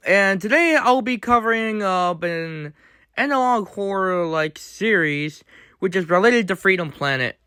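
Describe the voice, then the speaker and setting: Male video host, slightly high voice, casual explainer commentary with choppy, halting delivery. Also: animated, pushed and bright, clean tone, no echo, studio quality.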